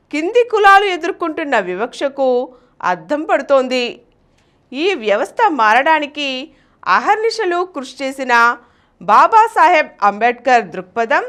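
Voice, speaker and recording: A woman presenting a scripted literary review as a host, with formal, measured, declamatory phrasing. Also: sits high, a bit bright. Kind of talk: scripted literary review narration with recited verse.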